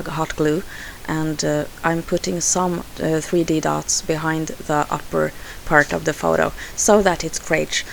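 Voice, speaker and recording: Swedish-accented woman, craft voice-over; low, unhurried, halting delivery. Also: gravelly, a little hiss or hum, rough recording. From Sweden. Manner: hesitant, unhurried, frequent fillers and restarts. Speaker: scrapbooking crafter.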